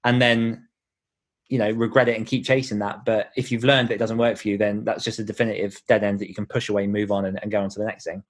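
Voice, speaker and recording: A man's voice: on the low side, flat delivery, fast. A man speaking as an author guest in a conversational podcast interview, explaining things in an encouraging way.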